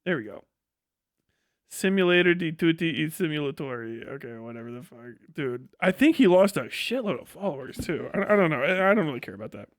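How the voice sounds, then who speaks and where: Male American streamer, higher register, dry sound; sweary, opinionated, off-the-cuff commentary. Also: expressive, brisk, no echo. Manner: blunt, opinionated, sweary ranting. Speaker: livestream host. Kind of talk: casual, profane livestream commentary.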